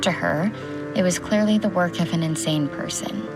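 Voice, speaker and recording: A woman's voice: fairly low pitch, normal speed, close to an everyday voice. An actress playing a young woman doctor who narrates tense events in a scripted first-person audio drama. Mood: observant, wry. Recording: studio narration under loud music. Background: loud music.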